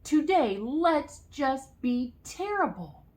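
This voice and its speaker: A woman voicing excitable, unruly schoolchildren who exclaim eagerly in a storybook. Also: sits high, takes it slowly, pitched above the performer's own voice, exaggerated voice. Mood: gleeful, rowdy.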